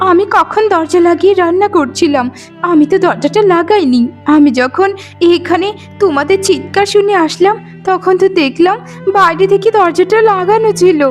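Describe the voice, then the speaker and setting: A woman voicing an earnest, wronged young daughter-in-law who pleads piously in a melodramatic cartoon. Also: very high voice. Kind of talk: melodramatic cartoon moral-story voice acting.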